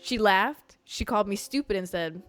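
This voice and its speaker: A young woman in her twenties hosts a podcast alone. She talks in a loose, unfiltered, profane style and leans on "like" all the time. Her pitch sits mid-range, and faint music plays under her voice. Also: moderate pace, fairly bright tone. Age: 20s. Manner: candid, loose and profane, heavy use of "like". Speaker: podcast host. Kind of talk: casual storytelling monologue.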